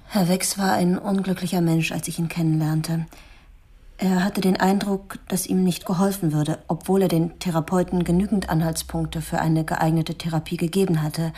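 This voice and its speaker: Low, husky, defensive therapist. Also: female voice, little pitch movement, warm tone. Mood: defensive, controlled. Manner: formal, measured, self-justifying. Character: defensive, earnest female prison therapist under suspicion. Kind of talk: German radio drama acting.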